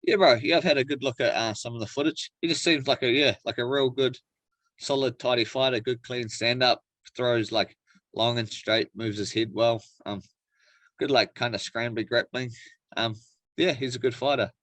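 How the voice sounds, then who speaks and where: A man's voice: mid-range voice, normal speed, fairly bright tone, no room sound. Male athlete interviewee, New Zealand accent. Mid-range voice, informal and slangy, dry sound with little room. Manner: laid-back slangy phrasing, frequent "like" and "bro". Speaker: professional MMA fighter being interviewed. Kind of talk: casual interview chat.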